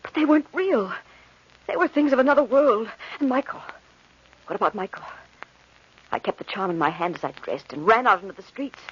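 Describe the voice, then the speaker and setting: An actress playing an anxious, determined young bride in an old radio horror play, with urgent, melodramatic delivery. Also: female voice, a little high, animated, brisk, long pauses, breathy edge. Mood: haunted, tense. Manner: breathless, melodramatic. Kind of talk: old-time radio horror drama with narration. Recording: muffled vintage radio recording.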